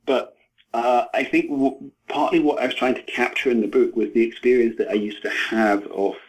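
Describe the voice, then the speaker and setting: Husky, halting man. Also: mid-range voice, steady tone, rough recording. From Scotland. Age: 40s. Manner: halting, repeats words, hedges with "you know". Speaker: interview guest, novelist and screenwriter. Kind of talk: thoughtful interview conversation.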